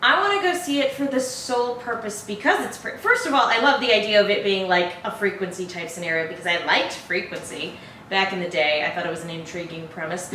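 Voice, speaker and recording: A woman chats informally as the host of a reaction show, with a middling pitch. She is recorded at a distance in an echoey room, with faint noise behind her. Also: a little fast, sharp tone. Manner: informal and chatty, laughs often. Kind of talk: casual film review chat.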